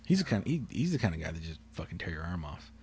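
A man co-hosting a casual podcast chat, talking quietly and calmly, rambling with restarts and swearing. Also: sits low, fast talker, slight background noise. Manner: quiet, calm delivery; rambling with frequent restarts and profanity. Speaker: podcast co-host.

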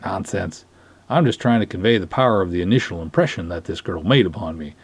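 A pompous, lovestruck lake patrolman, acted out by a man in a dramatic story reading. He speaks in florid, dignified phrases, with a husky voice, swooping intonation and a dry, close sound. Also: sits low, lively intonation, fast talker, no room sound, full character voice. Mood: defensive.

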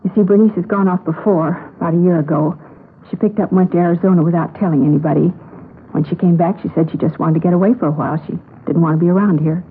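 A woman's voice: fairly low pitch, steady tone, fast talker, close to an everyday voice. An actress playing an earnest, worried woman in a police radio drama, speaking in a plainspoken way. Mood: uneasy recounting. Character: earnest, worried young woman reporting missing sister. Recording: tinny vintage radio recording, noisy.

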